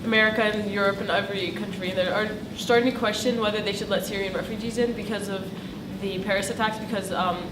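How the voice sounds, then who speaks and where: A woman's voice: mid-range voice, fairly even intonation, quick pace, far from the mic. Teenage woman in an echoey, noisy room. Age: late teens. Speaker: high school student interviewee. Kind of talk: casual interview answers.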